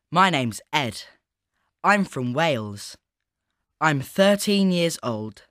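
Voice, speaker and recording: A man voicing a musical, sporty thirteen-year-old boy in scripted coursebook audio, speaking slowly and clearly. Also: a little high, a bit bright, no room sound, studio quality. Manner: slow, clear, careful delivery. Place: recording studio.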